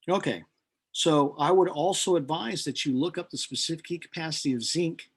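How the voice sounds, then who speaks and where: A man teaches in a slightly high voice with a husky edge. He explains things step by step as a chemistry instructor would, in an informal but deliberate way. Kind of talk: lecture working through equations step by step.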